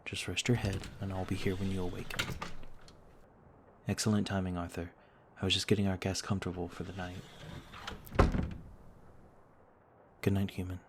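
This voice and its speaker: A man playing a gentle, ancient noble vampire in an ASMR roleplay, speaking in a courtly, soothing way. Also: deep voice, completely flat delivery, quick pace, background noise, close to an everyday voice. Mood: patient, candid, then soft, kindly. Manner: courtly, formal, soothing, reassuring. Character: gentle noble male vampire, over a thousand years old, looks thirty-two.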